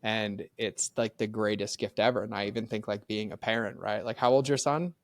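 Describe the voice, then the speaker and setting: Casting: middle-aged man, low voice, earnest and animated podcast guest, close dry mic. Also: brisk, creaky, no echo. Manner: earnest, animated, many "like" fillers. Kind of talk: casual faith conversation.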